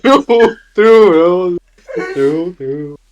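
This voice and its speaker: A teasing mock scam caller with a put-on Indian accent, played by a friend. The voice swings widely in pitch and drawls slowly through repeated taunts. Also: lively intonation, dull audio, a crowd in the background. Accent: put-on Indian English. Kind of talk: casual banter.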